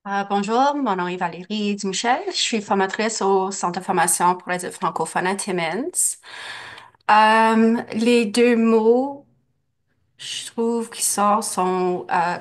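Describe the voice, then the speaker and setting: A woman who works as an adult education trainer, introducing herself hesitantly in an online meeting. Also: medium pitch. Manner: hesitant, searching for words. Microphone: computer mic on video call.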